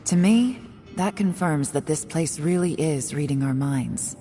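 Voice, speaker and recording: A woman voicing a secretive, composed young woman agent in a video game, speaking in a measured, guarded way with heavy sighs. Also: low-pitched, music in the background. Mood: grave.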